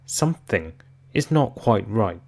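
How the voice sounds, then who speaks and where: A man's voice: lowish voice, big pitch swings, measured pace, a little mellow, husky. A man hosting an investor commentary, speaking emphatically and with exasperation, often asking rhetorical questions straight to his viewers. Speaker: host of an investor commentary channel.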